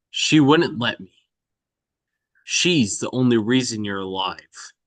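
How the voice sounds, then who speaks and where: A man acts out a cold assassin on the run in a script read. He is terse and demanding, speaking slowly with a lively, swinging pitch. The sound is close and dry. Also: no echo. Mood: cold, menacing. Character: cold, relentless male assassin on the run, interrogating. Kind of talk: screenplay table read acting.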